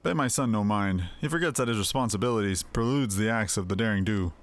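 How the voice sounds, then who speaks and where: A man voicing a solemn, stubborn old father in a fantasy video game, speaking gravely and formally. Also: lowish voice, fast, lightly acted. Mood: dismissive. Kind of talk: video game character dialogue. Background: game music.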